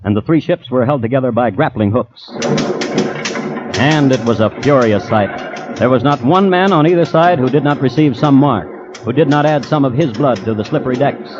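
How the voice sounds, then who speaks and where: A man playing a crime historian who narrates an old radio drama in a measured, dryly ironic way. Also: mid-range voice, music in the background, close to an everyday voice. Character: dry, storytelling male crime historian. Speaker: host and narrator. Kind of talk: 1950s radio scripted narration. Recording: dull old radio recording, faint noise.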